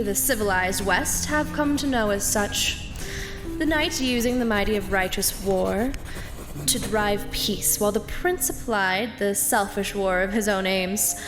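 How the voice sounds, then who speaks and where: A woman playing a scholar who reads fantasy lore aloud in a measured, solemn storybook manner for an audio drama. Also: medium pitch, close to an everyday voice, low-quality recording. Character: female scholar reading aloud from ancient tomes. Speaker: voice actor reading lore. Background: music.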